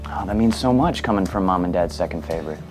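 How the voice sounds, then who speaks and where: Low-voiced wry teasing brother. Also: male voice, animated, fast, music in the background. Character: wry, teasing brother who survived cancer. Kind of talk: acted radio advertisement dialogue.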